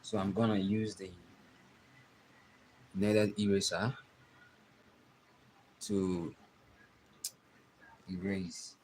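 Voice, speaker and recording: A young man with a Nigerian accent, giving a live drawing tutorial and talking softly as he works. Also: fairly low pitch, sing-song, creaky, a little hiss or hum, poor audio. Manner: slow, soft-spoken, trailing off while drawing. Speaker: art teacher streaming live.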